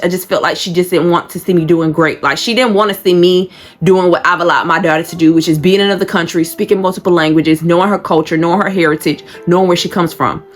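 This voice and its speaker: A woman's voice: lowish voice, fairly bright tone. Blunt woman vlogger, faint music. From Georgia, USA. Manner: blunt, emotional, swears freely. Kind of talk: candid personal storytelling.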